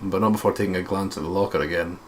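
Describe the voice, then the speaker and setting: A man's voice: low voice, very fast, a little hiss or hum. A Scottish man reading visual novel narration aloud as a let's play co-host, with casual asides.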